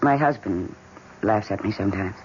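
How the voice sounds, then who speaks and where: An actress playing a lonely, fragile housewife who talks to her plants, soft and gentle, in a 1970s radio drama. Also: very deep voice, normal speed. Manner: soft, gentle, turns pleading and tearful. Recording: dull 1970s radio broadcast with faint hiss.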